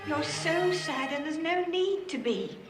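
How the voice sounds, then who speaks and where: An actress playing a gentle, uneasy older woman, the sister of a psychic, in a 1970s film, speaking softly. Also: sits high, lively intonation, measured pace, music in the background. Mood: consoling. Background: loud film score with violin.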